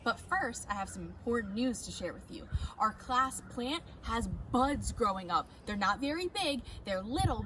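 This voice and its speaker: A woman teacher reading a children's chapter book aloud from her home, in an animated storytelling manner with bright emphasis. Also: a little high, very noisy.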